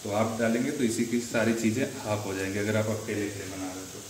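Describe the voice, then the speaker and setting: Low, flat-voiced young man vlogging in a kitchen. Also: fast talker. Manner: chatty, addresses viewers as brother. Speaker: cooking vlogger. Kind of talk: casual cooking voice-over. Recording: echoey room with faint ambient noise.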